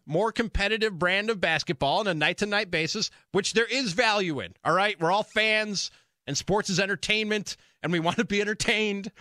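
A man's voice: high-pitched, bright, forceful tone, no echo. An American man hosting sports talk radio, speaking in an emphatic, conversational monologue that repeats phrases for emphasis.

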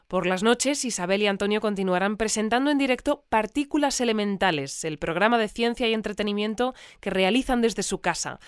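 An actress playing a composed, formal presenter of a news bulletin in an audio drama. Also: female voice, mid-range voice, no room sound, studio quality, lightly acted. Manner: composed, formal, clearly scripted.

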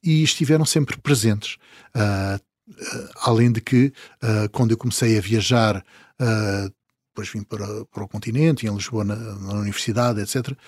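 A man in his fifties from the Azores with a European Portuguese accent, telling travel stories as an interview guest, chuckling and letting his sentences trail off softly. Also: lowish voice, normal speed, no echo, studio quality. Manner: storytelling, chuckling, trailing off quietly. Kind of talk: relaxed interview conversation.

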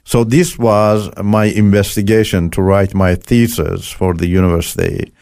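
Older man from Iran with Turkish as his first language, very low voice, dry close sound, keeps saying "you know" and "uh" as he tells his story. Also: steady tone, vocal fry, a little mellow, no echo. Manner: halting, with frequent "you know" and "uh" fillers. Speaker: guest. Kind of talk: interview testimony.

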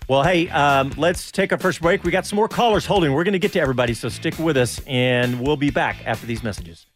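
A man's voice: on the high side, fast talker, faint music behind. A man hosting a casual motor racing talk show, chatting with his co-hosts and reading listener comments. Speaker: radio show host.

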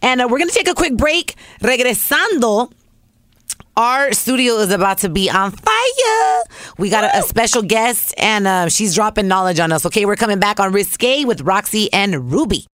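A woman's voice: mid-range voice, sing-song, normal speed, fairly bright tone, no room sound. Upbeat bilingual woman podcast host, dry. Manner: upbeat, playful, reads quotes aloud with emphasis. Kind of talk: casual chat, switching between English and Spanish.

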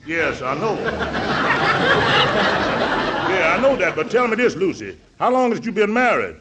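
A dignified, genteel older uncle. He speaks politely and asks pointed questions, his voice moving in lively curves. It is an old radio broadcast recording. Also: high voice, slow pace, very noisy, exaggerated voice. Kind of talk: 1940s radio comedy acting.

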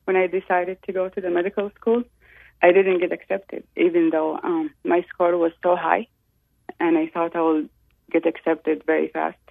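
Gravelly woman on a tinny phone line. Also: lowish voice, flat delivery, moderate pace, no room sound. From Saudi Arabia. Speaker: call-in guest. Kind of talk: personal testimony.

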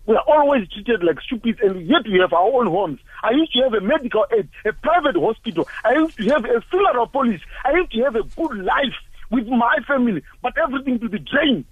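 A man's voice: extremely high pitch, expressive, brisk, dry recording. A man in his forties from Zimbabwe, calling in to a talk show and speaking with anger through repeated rhetorical questions. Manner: impassioned, angry, repetitive rhetorical questions. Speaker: caller. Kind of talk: emotional call-in comments. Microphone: phone. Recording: tinny phone line.

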